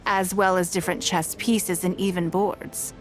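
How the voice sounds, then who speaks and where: A woman voicing an elegant, shrewd and courteous businesswoman in scripted video game dialogue, speaking in a poised, measured and articulate way. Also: lowish voice, steady tone, lightly acted. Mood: thoughtful, proud. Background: background music.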